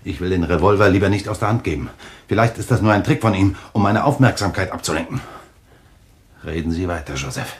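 A man's voice: deep voice, lively intonation, fairly quick. An actor playing a commanding, distrustful man who interrogates others, in a German radio thriller. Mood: wary. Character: suspicious, commanding man holding a stranger at gunpoint.

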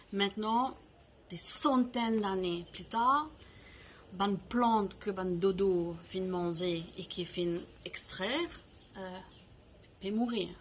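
A woman in her forties speaks slowly and deliberately to a device's built-in mic, pausing between short phrases. She talks in a language that is not her first and searches for words. Faint outdoor ambience drifts in from the garden veranda around her. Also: medium pitch, a little hiss or hum. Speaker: founder of an eco-products platform giving a message. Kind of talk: prepared short address to camera. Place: covered veranda outdoors, garden greenery behind.

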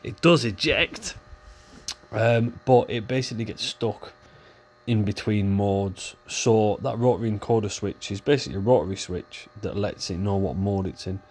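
A man's voice: lowish voice, normal speed, slightly rough. A man with a stuffy, nasal voice from a cold, chatting casually as he walks through a repair. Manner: chatty, relaxed, talks while working with hands. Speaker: repair video host.

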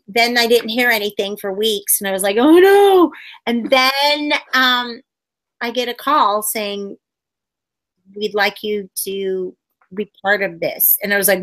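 A woman in her forties, a puppeteer, telling stories in a casual interview over a webcam video call from a room at home, acting out quotes with sudden high squeals. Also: a bit bright. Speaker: puppeteer guest.

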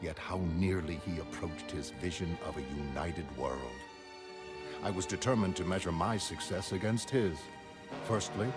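Guy acting rich genius visionary type, low voice, lofty n formal, measured motion comic monologue, loud music under it. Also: lightly acted. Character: wealthy, brilliant, self-assured male visionary recounting his life. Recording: studio voice over prominent music.

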